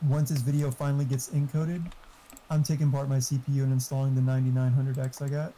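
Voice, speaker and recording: A man's voice: mid-range voice, level tone, quick pace, warm tone, slight background noise, rough recording. A man chatting casually and giving tech advice, swearing freely as he goes. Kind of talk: casual chat.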